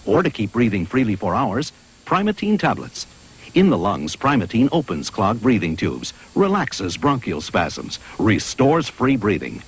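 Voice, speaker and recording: A man's voice: on the low side, huge pitch swings, a little slow, breathy edge. A man narrating a 1970s television commercial as an announcer.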